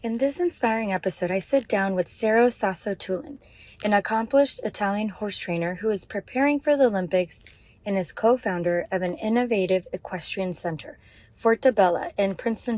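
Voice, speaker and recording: A woman hosting a wellness podcast, opening the show and welcoming her guest in an upbeat, animated way. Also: mid-range voice. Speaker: podcast host. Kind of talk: warm show host intro and interview.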